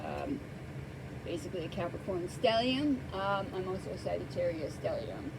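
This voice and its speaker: A woman hosting a casual tarot card reading, soft-spoken and hesitant, with many pauses and fillers, close to the microphone. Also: lowish voice, animated, background noise.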